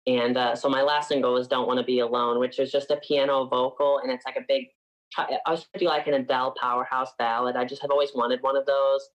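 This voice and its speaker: Young man, from upstate New York, singer being interviewed, casual, chatty, lots of "like" fillers, medium pitch. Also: level tone, fast, lo-fi sound. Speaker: singer guest.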